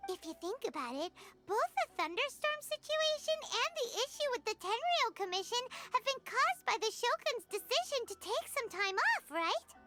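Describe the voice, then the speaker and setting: A tiny, chirpy fairy companion with a piercingly high, bouncy voice that leaps all over. She is excitable and talks about herself in the third person. Loud game music plays under her. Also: moderate pace. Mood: puzzled. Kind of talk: game cutscene dialogue. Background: game soundtrack music.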